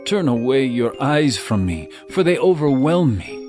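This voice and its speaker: A man's voice: medium pitch, sing-song, measured pace, a little mellow. A man playing an ardent bridegroom who woos his beloved in an impassioned, poetic scripture drama. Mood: adoring. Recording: music bed behind the voice.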